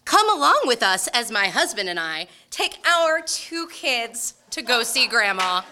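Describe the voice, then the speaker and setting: A woman's voice: on the high side, sing-song, a little slow, bright, forceful tone, exaggerated voice. A woman playing a fussy, bossy wife and mother in an amateur old-time radio skit. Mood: cheerful narrating. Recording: noisy live room recording.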